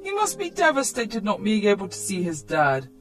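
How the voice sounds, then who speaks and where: A woman's voice: medium pitch, huge pitch swings, normal speed. Woman doing guilt-trippy older parent, scolding and pleading, storytime with music. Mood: reproachful. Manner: reproachful, pleading.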